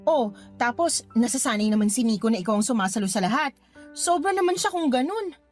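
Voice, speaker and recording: A woman's voice: on the high side, lively intonation, fairly quick, lightly acted. An actress in a Tagalog radio drama playing an indignant, protective female cousin with an exclamatory, scolding way of talking. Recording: music bed behind the voice.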